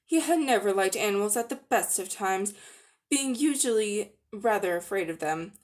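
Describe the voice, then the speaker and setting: A woman narrating a children's story as a dramatic reading, with expressive storytelling delivery. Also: medium pitch, normal speed. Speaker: audiobook-style narrator.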